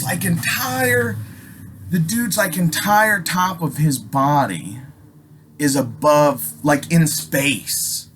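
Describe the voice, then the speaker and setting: A man's voice: fairly high pitch, a bit bright, a little hiss or hum. An American man telling a personal story casually, rambling and emphatic, swearing heavily with abrupt stops and repeats. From Alaska, United States.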